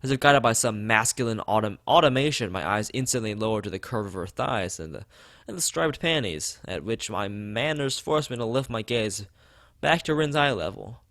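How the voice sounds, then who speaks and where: A man's voice: lowish voice, expressive, normal speed, fairly bright tone. A man reading a visual novel aloud as a let's play host, stumbling over words and rereading them, with his own side comments.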